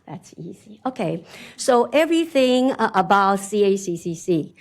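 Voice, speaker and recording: A middle-aged woman with a strong Chinese accent giving an animated conference talk, often laughing and asking "right?". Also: lowish voice, vocal fry. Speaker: conference speaker. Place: conference hall.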